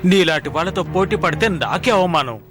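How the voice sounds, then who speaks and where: A man voicing an arrogant young villain's son in a dubbed animated series, haughty and contemptuous. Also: a little high, normal speed, over music, close to an everyday voice. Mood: haughty, scornful. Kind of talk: animated series dub acting.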